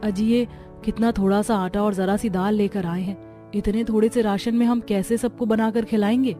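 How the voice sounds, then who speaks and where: A woman's voice: mid-range voice, fairly even intonation, moderate pace, warm tone, soft background music, natural voice. A woman voicing a worried, complaining daughter-in-law of a poor household in a cartoon story, plaintively.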